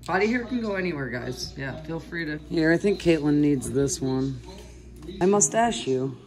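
A woman filming friends in a wooden cabin, chatting playfully and teasing with frequent laughs. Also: very deep voice. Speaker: vlogger. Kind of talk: casual chat among friends. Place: wooden cabin living room.

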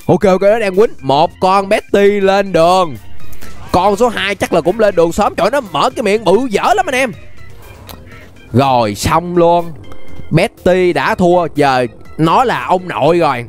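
A young man with a Southern Vietnamese accent giving excited, exclamatory gameplay commentary, repeating phrases and dropping in English interjections. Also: high-pitched, unhurried, faint music behind. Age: young adult. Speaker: gaming YouTuber. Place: indoor gaming room.

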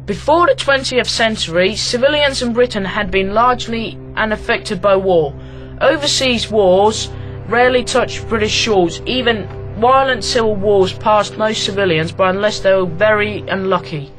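A young man from Latvia reading aloud a school heritage project, careful and a little halting, with faint music underneath. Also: high-pitched, fairly bright tone, poor audio. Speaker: student presenter.

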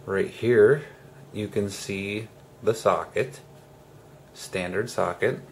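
A man giving a casual hands-on how-to, speaking haltingly in short phrases with pauses mid-sentence, as host of a DIY tutorial. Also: fairly low pitch, a little hiss or hum.

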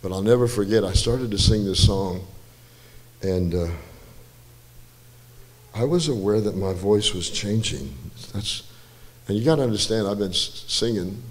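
An older man, a guest musician, telling a relaxed, rambling story with self-deprecating asides at a church, his voice slightly hoarse, on a handheld mic. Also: fairly low pitch, a little slow. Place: church stage, large indoor room. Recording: reverberant church hall with faint music.

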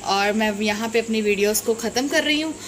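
A woman's voice: on the high side, fairly quick, slight background noise. A young woman chatting to viewers in a casual home vlog, filmed on a phone at arm's length. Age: young adult. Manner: chatty, addresses viewers directly. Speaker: vlogger and Sunday school teacher. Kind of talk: casual vlog chat to camera. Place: small room at home, indoors. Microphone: phone camera at arm's length.

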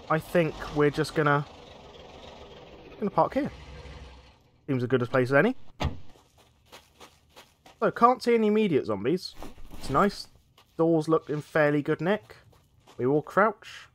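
A man narrating his own gameplay in a relaxed, rambling way. His nose is a little blocked from a cold, which gives his voice a stuffed-up sound. He talks into a desk microphone, mid-pitched and conversational. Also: a little hiss or hum. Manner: chatty, thinks aloud while playing. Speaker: let's play gaming host. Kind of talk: casual gameplay commentary.

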